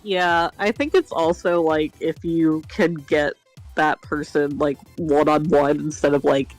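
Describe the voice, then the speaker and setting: A woman with a deep voice chatting casually on a stream, hesitant with many fillers. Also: steady tone, a little slow, no echo, faint music behind, rough recording. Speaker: guest streamer. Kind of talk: casual chat.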